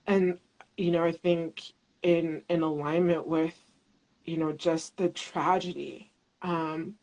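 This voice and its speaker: A woman speaking as a guest in a reflective conversation, thinking aloud with many pauses and "like"s, on a remote call mic. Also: low voice, fairly even intonation, darkish tone, rough recording. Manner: searching, many fillers and restarts, thoughtful.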